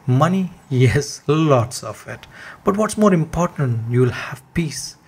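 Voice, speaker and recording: A man giving a scripted motivational reading in an earnest, deliberate, emphatic way, with a husky edge to his voice. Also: fairly high pitch, expressive. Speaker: reader of a motivational text.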